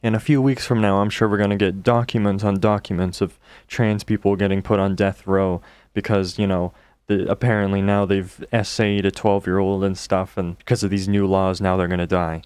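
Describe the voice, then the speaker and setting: A man hosting a reaction commentary video, talking casually in a deep, monotone voice. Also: moderate pace, clear, resonant tone, dry recording. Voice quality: deep and monotone from just waking. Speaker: commentary channel host.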